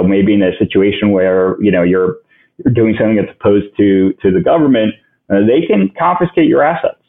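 A man from Ukraine whose first language is Russian, a podcast guest answering in a halting way that thinks aloud with many restarts, heard over a phone-line recording. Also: on the low side. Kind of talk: casual interview answers. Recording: tinny phone-line sound.

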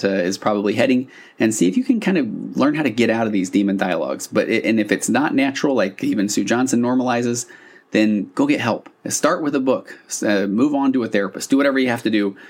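A man's voice: lowish voice, fairly quick. A man hosting a podcast, talking in a casual, explanatory, conversational monologue. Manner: conversational, acting out example dialogue lines. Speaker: podcast host.

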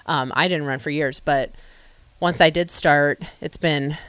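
Female co-host, 40s, low and dry-miked, conversational and encouraging. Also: steady tone, a little slow, fairly bright tone, clear, resonant tone, dry recording. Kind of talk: casual interview chat.

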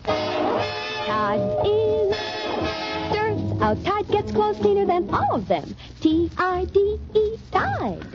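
Female jingle voice, very high and slow, music behind, old radio ad. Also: wildly swooping pitch, highs cut off. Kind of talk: sung radio advertising jingle.

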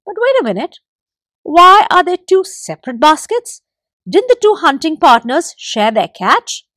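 The animal wives in a storybook, questioning their husbands in a high, lively voice, as a woman puts them on. The voice rises sharply on each question. It is close and dry. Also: measured pace, no room sound, exaggerated voice.

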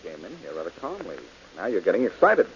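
A cocky detective who stays calm and needles his enemy with dry mockery, on a 1940s radio show. His voice sits low, but the delivery swings widely in pitch. The old broadcast sounds dull, with a faint hiss underneath. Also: fast talker, exaggerated voice. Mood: taunting. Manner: cool, mocking and self-assured. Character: cocky, cool-headed male detective. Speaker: detective hero.

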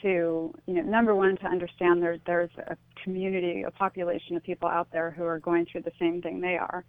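A woman's voice: on the low side, flat delivery, a little fast, no echo. Woman guest on a thin phone-like line. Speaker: interview guest, author. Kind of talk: conversational radio interview.

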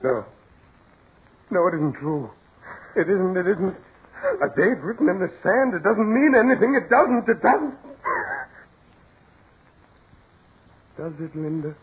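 A man's voice: high voice, lively intonation, normal speed, long pauses, breathy edge, heavily acted. An actor playing a proud, practical businessman fighting dread in 1940s radio horror, with theatrical, repetitive insistence. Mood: desperate denial. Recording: scratchy, tinny 1940s radio recording with noise.

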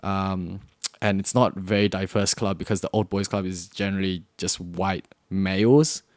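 A man's voice: deep voice, fairly even intonation, normal speed, no echo. A young Australian man co-hosting a podcast, chatting casually and rambling with lots of fillers. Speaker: podcast co-host. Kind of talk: casual chat.

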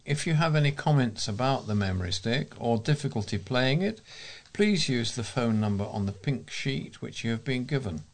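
A man's voice: mid-range voice, animated, normal speed. A man with an English accent reading local newspaper items and announcements aloud as a volunteer presenter.

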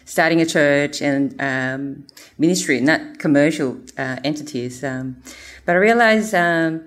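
A woman presenting her mission work, speaking earnestly with many pauses and fillers. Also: sits low.

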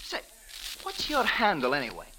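An actor playing an excitable Egyptian jive musician who talks in hip jazz slang, in 1940s radio style. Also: male voice, fairly high pitch, normal speed. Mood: chatty, griping. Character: excitable jive-talking Egyptian male piano player and songwriter. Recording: noisy, hissing, crackly 1940s radio recording.

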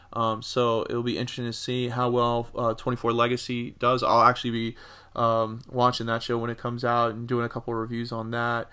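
A man co-hosting a casual entertainment news podcast, speaking in a measured, halting way with pauses between phrases. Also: medium pitch, fairly bright tone, smooth. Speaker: podcast co-host.

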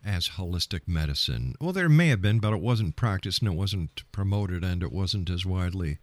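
A man's voice: low voice, animated, normal speed, no room sound. A man hosting a radio interview, asking skeptical, probing questions and often repeating his opening words. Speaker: radio show host, interviewer.

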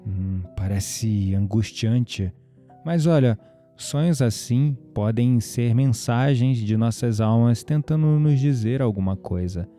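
Man acting gentle mystical Brazilian man, very dark mellow timbre, medium pitch, calm, soft, reflective, audio drama. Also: slow pace, faint music behind, natural voice. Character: calm, kindly mystical young man, incense shop keeper.

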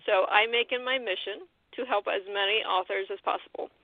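A woman's voice: mid-range voice, fairly quick, no echo. Word-searching woman host on a phone line. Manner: friendly, searches for words. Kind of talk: casual interview chat. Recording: dry tinny phone line.

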